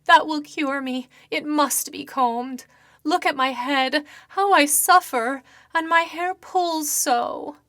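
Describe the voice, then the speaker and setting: A woman voicing a mysterious, suffering ghostly lady in a horror story, pleading in drawn-out moans. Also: high voice, bright, forceful tone, dry recording, clean studio sound, pitched above the performer's own voice, heavily acted. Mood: insistent, suffering. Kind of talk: theatrical horror story reading.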